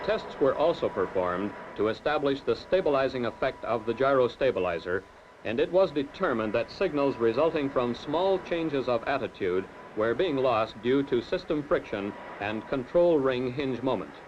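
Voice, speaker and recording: A man's voice: medium pitch, lively intonation, slight background noise. Man narrating a lo-fi technical film. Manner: measured, formal technical delivery. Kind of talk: scripted documentary narration. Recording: dull lo-fi film soundtrack.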